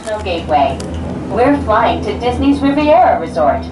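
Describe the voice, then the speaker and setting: A woman giving a prerecorded public announcement on a transit line, with a bright, lilting announcer delivery. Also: mid-range voice, very animated, normal speed. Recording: echoey distant loudspeaker with noise.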